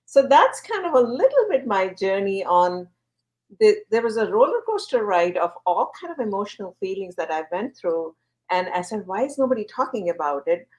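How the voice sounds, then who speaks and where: A woman who grew up in India answers an interviewer's questions in a lively, earnest way, often pausing on fillers. Her voice sits at an ordinary middle pitch for a woman. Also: low-quality recording. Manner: animated, earnest, uses fillers. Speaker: guest, wellness platform founder.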